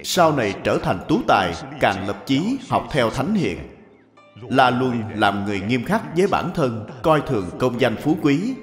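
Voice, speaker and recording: A man's voice: medium pitch, big pitch swings, measured pace. A man narrating a scripted story as the narrator of a cartoon. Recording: echoey long reverb over music.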